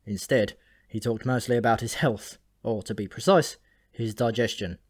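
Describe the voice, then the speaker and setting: A man's voice: lowish voice, steady tone, moderate pace, slightly rough. A man reading a scripted comic story aloud as an audiobook narrator.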